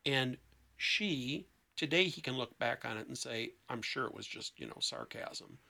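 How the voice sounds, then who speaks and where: A man talking conversationally as a podcast guest, telling stories in deliberate, short emphatic phrases. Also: medium pitch, expressive, creaky, pushed and bright. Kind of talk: conversational storytelling.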